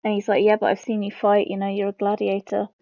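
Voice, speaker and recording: A woman's voice: medium pitch, completely flat delivery, fast, dark tone, no echo. A woman hosting a game lore video, explaining it informally as she goes. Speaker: host of a game lore channel. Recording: dull lo-fi close mic.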